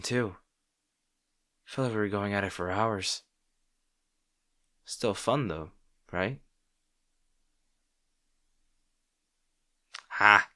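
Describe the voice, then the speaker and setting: A man's voice: lowish voice, sharp tone, dry recording, clean studio sound, heavily acted. A man playing an arrogant but secretly caring supervillain rival, bantering with the listener in an audio roleplay. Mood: teasing, playful. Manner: teasing, bantering, grudgingly sincere.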